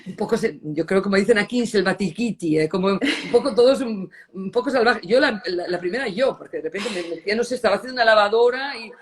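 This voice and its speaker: A middle-aged woman from Spain, speaking in a reflective interview and often pausing to search for words, with Italian words slipping in. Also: mid-range voice. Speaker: designer interviewee.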